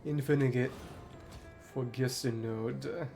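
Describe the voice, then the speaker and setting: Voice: medium pitch, fairly even intonation, takes it slowly, gravelly, mellow, faint music behind. A streamer playing a video game with casual commentary, thinking aloud in fragments and exclamations.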